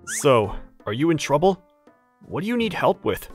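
A woman's voice: low voice, sing-song, moderate pace, darkish tone, soft background music. A woman playing a kind, protective wolf-eared young man in an anime dub, laid-back and reassuring. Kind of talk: anime manga dub acting.

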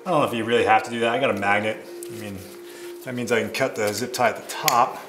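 A man's voice: mid-range voice, fairly even intonation, fast, over music. A man talking casually and thinking aloud as he narrates hands-on repair work as an amateur mechanic. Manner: informal, thinking aloud while working. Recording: clear background noise, camera mic.

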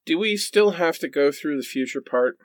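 A man's voice: fairly high pitch, animated, normal speed, no echo, close to an everyday voice. A man playing an exasperated, melodramatic, sarcastic character in a comedic radio play. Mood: resigned.

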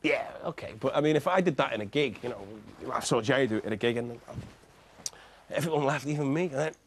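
A young man with a Liverpool accent answering audience questions in a TV studio, animated and jokey, laughing often and cutting in. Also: medium pitch, fast, a little hiss or hum. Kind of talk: lively studio discussion. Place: television studio with seated audience.

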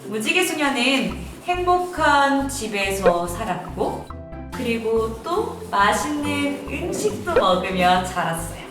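A woman storyteller narrating for children in an expressive, sing-song way with drawn-out words. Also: on the high side. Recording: echoey room with music behind.

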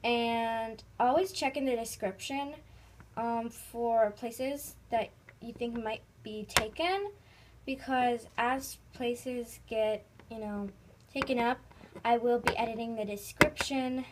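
High-pitched woman, noisy phone recording. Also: slow. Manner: rambling, informal, trailing off. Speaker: host of a toy video channel. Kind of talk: casual vlog narration. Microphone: phone held near the speaker.

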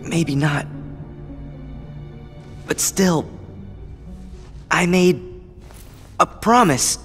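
An actor playing an earnest, determined teenage boy hero in a video game, halting and sincere. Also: fairly high pitch, a bit bright, faint music behind. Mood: resolute. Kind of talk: video game cutscene acting. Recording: long hall reverb.

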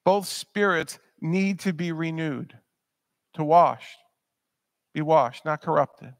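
Guy in his 30s preaching at a pulpit, fairly high voice, dry sound, keeps repeating words to drive it home. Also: slow pace, no echo. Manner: earnest, emphatic, repeats words when pressing a point. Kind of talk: sermon. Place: church sanctuary, speaking at a pulpit.

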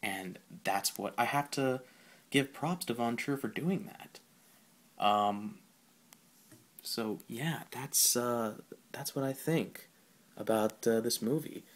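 A man hosting a podcast, giving a casual, informal solo film review in a halting way. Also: mid-range voice.

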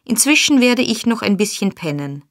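A woman's voice: fairly high pitch, very animated, moderate pace, pitched above the performer's own voice. A woman doing a surly, blustering burglar. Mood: angry. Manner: blustering, accusing. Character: brutal, surly male burglar, angry and demanding. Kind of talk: audiobook character voice.

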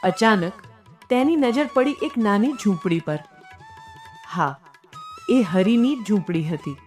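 Brief: female narrator for moral folk tales, measured and earnest, mid-pitched, light music bed. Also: animated, a little mellow. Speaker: storyteller and channel host. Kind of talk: scripted moral story narration. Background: background music.

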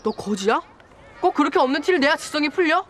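A haughty well-off city schoolchild, high-voiced and quick. The delivery is scolding children's drama acting with faint noise behind it. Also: female voice, lively intonation, a bit bright. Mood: indignant, haughty.

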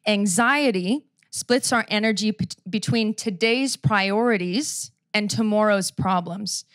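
A woman's voice: mid-range voice, no echo. Canadian woman preaching, dry close sound. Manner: conversational and self-deprecating, slows down to read scripture. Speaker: pastor. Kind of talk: sermon. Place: church stage before a seated audience. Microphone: handheld microphone.

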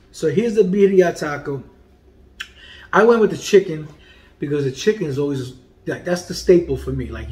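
A man in his forties hosts a casual food review from a dining room at home, joking and animated. His voice sits a little high for a man, and he drops to quiet murmurs while tasting. The room gives his voice a noticeable echo. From Puerto Rican heritage. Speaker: food vlogger and comedian host. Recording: echoey room.

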